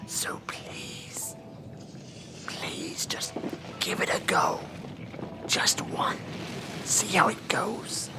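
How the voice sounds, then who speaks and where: A man voicing an ancient, weary demon judge of hell in a theatrical, breathy whisper for an ASMR role-play. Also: drawn-out words, long pauses, over loud music. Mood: weary, pleading. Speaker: performer of a horror role-play.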